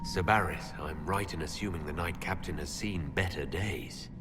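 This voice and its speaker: Man playing a low-voiced, guarded elf leader. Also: moderate pace, background noise, lightly acted. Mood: dry. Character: composed, guarded male elf leader, dryly defiant. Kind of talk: video game dialogue acting.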